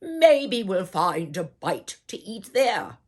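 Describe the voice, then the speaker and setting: Woman playing hungry soldier, loud and drawn-out. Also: medium pitch, sing-song. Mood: weary, wishful. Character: hungry, weary but polite male soldiers from wars.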